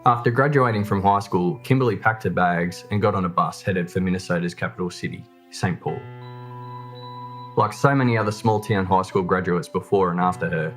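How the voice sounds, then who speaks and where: Very deep-voiced man narrating true crime. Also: fairly even intonation, normal speed, a bit bright. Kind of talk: scripted documentary narration. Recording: music bed under the voice. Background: background music.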